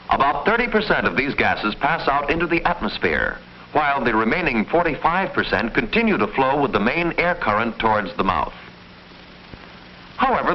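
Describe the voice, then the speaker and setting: Old film narrator guy, formal and teacherly, really swoopy intonation, muffled hissy soundtrack. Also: mid-range voice. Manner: formal, measured, didactic. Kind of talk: scripted mid-century educational film narration.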